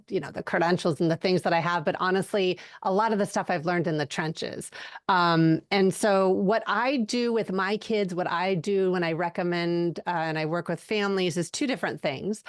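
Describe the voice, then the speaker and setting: A woman's voice: fairly low pitch, no echo, studio quality. A middle-aged woman giving conversational advice as a podcast guest, explaining things informally. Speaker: podcast guest, educational advocate.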